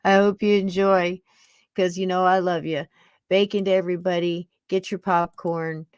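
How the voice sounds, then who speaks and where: A woman in her sixties with a fairly low voice hosts a podcast. She chats in a folksy, affectionate ramble, recorded close and dry. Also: level tone, normal speed, clean tone, dry recording. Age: 60s. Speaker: podcast host. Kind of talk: casual chat to live listeners.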